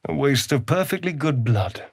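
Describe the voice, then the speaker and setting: A man's voice: mid-range voice, sing-song, normal speed, husky, no echo, clean studio sound. A man playing a sardonic, theatrical male vampire rogue in a video game, with an arch, drawling delivery. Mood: wry, disdainful. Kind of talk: video game character acting.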